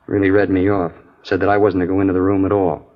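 A man's voice: deep voice, flat delivery, fast, close to an everyday voice. An actor playing a plain-spoken, confiding male nurse informant in a 1950s radio drama. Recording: dull old radio recording.

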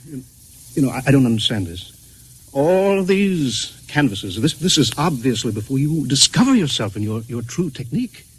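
A man's voice: mid-range voice, big pitch swings, moderate pace, husky, poor audio. An actor playing a pompous, flustered art critic, prissy and stammering, repeating his words in old-time radio comedy style. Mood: distressed, pleading. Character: fussy, flustered, pompous art critic, polite but appalled.

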